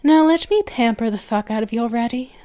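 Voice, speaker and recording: A woman's voice: on the high side, mellow, natural voice. A woman performing an intimate ASMR roleplay as a doting, teasing, possessive vampire mistress, cooing and sometimes slipping into whispers. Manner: slow, cooing, with whispered phrases and drawn-out words.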